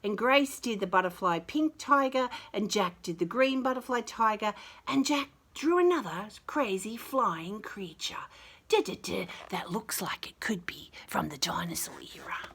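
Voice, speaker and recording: A woman storyteller talks to small children as she shares a picture book. Her voice is bright and very lively in pitch. She gushes with enthusiasm and leans on words with exaggerated emphasis. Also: measured pace, slightly rough. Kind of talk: picture book reading with chatty commentary for young children.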